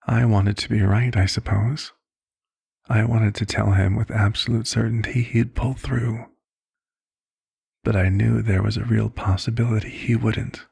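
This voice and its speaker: Man playing a low, husky, hushed vampire doctor. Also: level tone, no echo, clean studio sound, full character voice. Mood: reflective, gentle. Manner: measured, hushed, reflective storytelling. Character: calm, caring male vampire doctor recounting a past case. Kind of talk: ASMR roleplay monologue.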